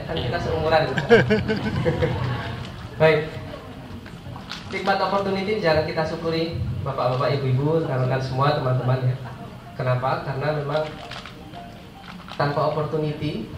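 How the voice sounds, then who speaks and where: A man in his forties with an Indonesian accent and a stuffy, nasal voice, giving an informal, jokey motivational talk to listeners he treats as friends. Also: a little high. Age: 40s. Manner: jokey, self-deprecating asides, addresses listeners as friends. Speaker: guest motivational speaker. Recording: echoey room, far mic, clear background noise.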